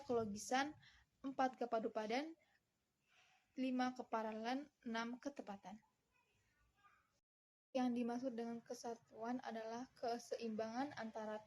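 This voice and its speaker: A young adult woman, a student, giving a scripted presentation read aloud in a formal, recited manner with halting breaks between phrases. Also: fairly high pitch, warm tone.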